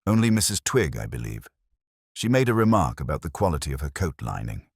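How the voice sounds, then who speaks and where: A man's voice: extremely low pitch, big pitch swings, a little fast, vocal fry, breathy edge, no room sound, clean studio sound, exaggerated voice. A man voicing a prim, cautious elderly female librarian with a precise, gossipy manner. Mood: prim, guarded.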